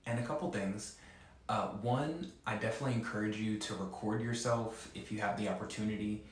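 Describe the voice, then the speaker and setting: A man's voice: fairly low pitch, brisk, slight background noise. Earnest man who stutters, echoey room. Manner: earnest, direct and emphatic. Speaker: presenter for a stuttering advocacy group. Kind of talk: instructional talk.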